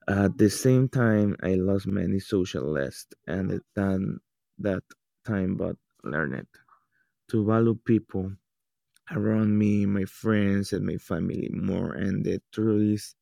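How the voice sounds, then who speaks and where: Slow, gravelly-voiced teenage boy. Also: lowish voice, dark tone, dry recording. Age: teens. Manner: halting, non-native English with restarts. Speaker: student telling his story. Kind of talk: reading a personal account.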